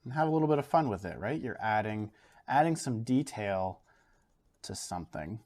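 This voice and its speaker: A Canadian man teaching an art lesson from his home studio, thinking aloud and filling pauses as he draws. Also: on the low side. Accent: Canadian English. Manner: relaxed, thinks aloud with fillers while drawing. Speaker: art educator. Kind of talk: casual art demonstration. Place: home studio, overhead camera on a table.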